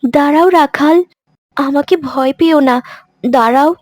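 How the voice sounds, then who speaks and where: A woman voicing a gentle young serpent princess in a cartoon story, tender, earnest and trusting. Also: high-pitched, measured pace, no echo, studio quality. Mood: reassuring. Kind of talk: dramatized cartoon story dialogue.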